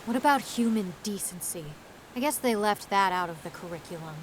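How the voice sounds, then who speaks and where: A woman's voice: medium pitch, lively intonation, brisk, background noise, lightly acted. A woman acting a headstrong, loyal female doctor in an audio drama, speaking in an insistent, challenging way. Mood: angry, challenging.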